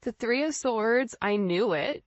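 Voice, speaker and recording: A woman's voice: fairly high pitch, expressive, a little slow, dry recording. Woman tarot reader, dull dry recording. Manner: emphatic, conversational, direct address with rhetorical questions. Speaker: tarot reader, channel host. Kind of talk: informal intuitive tarot reading addressed to viewers.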